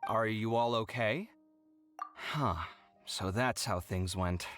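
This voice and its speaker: An actor playing an exasperated, sarcastic but kind-hearted young housemate in an anime video game, with a huffy, theatrical manner. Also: male voice, lowish voice, takes it slowly, a bit bright, soft background music. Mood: concerned.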